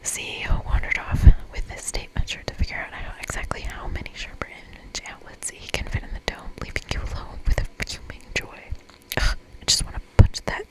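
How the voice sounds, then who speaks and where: A woman's voice: fairly quick, few pauses, poor audio. Whispering woman narrating a game. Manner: informal asides while reading. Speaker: ASMR creator.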